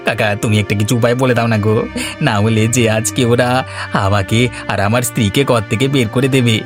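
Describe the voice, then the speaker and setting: A male actor plays a dramatic village man from a cartoon. He talks fast and emphatically. Some music runs behind the voice. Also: mid-range voice, steady tone, close to an everyday voice. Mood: pleading. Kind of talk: Bengali cartoon voice acting.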